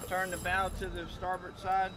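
A man's voice: sits high, completely flat delivery, normal speed. A man calling out short, urgent, repeated commands as crew on a fishing boat, outdoors on the open deck. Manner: urgent, repetitive, clipped commands. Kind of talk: calling out short commands during a fish fight. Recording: loud boat and engine noise.

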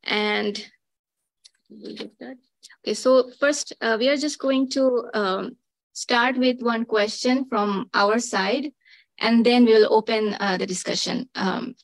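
Woman panel moderator, halting delivery full of fillers, dry close sound. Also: on the high side, steady tone, vocal fry, dry recording, rough recording. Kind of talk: reading speaker introductions.

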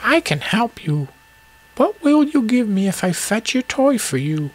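A woman voicing a small male talking frog in a storybook tale, creaky and pleading, with drawn-out delivery. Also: on the high side, sing-song, exaggerated voice, low-quality recording. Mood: concerned, helpful. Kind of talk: acted storybook dialogue.

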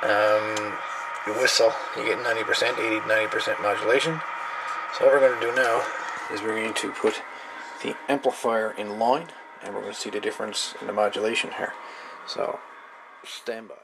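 A man's voice: lowish voice, creaky. A man giving a casual, unscripted hands-on electronics tutorial in an easygoing, rambling, informal way, recorded at an indoor workbench. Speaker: electronics hobbyist demonstrating a DIY radio project. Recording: noisy camera microphone at a workbench.

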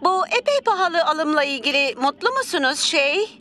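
Cartoon tv sports reporter, high lively voice, emphatic and polished. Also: female voice, moderate pace, soft background music. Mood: announcing. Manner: presentational, emphatic. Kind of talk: Turkish animated cartoon dub, TV presenting.

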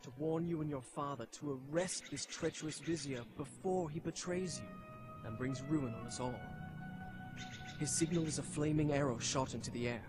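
Man playing an earnest young prince, loud music. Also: on the high side, moderate pace, close to an everyday voice. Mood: urgent, earnest. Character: young Persian prince, earnest, weary, solemn storyteller. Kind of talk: dramatic narration and dialogue in game cutscenes.

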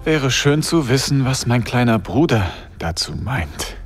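A man's voice: medium pitch, very animated, unhurried, over music. A weary, grieving man. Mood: downcast. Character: grieving, weary man who lost his way.

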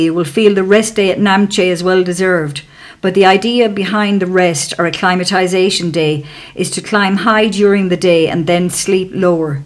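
A woman giving calm, measured, scripted narration for a travel documentary as a voice-over. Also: mid-range voice, slight background noise.

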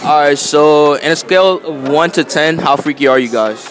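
Young dude asking strangers questions in a mall, loud slangy banter, busy crowd noise. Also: male voice, a little high, normal speed, poor audio. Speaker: street interviewer. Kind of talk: casual public interview. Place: busy shopping mall indoors. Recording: music and crowd noise behind.

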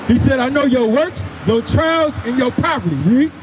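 Male street preacher, very high pitch, insistent and repetitive delivery, noisy open-air recording. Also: normal speed, long reverb tail. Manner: emphatic, repeats phrases, commands a reader. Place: outdoors on a grassy housing-project lawn. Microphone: handheld microphone through a portable speaker.

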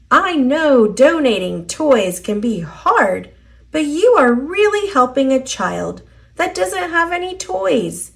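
A woman's voice: high voice, heavily acted. A woman voicing a cheerful, encouraging little storybook character who teaches children in an upbeat way. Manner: upbeat, instructive. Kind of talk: children's storybook read-aloud.

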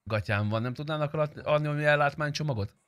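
A man's voice: a little high, a bit bright, clear, resonant tone, no echo. A man in his twenties improvising in-character game roleplay chat in a cheeky, joking manner, close on a streaming microphone. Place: indoor streaming room at home.

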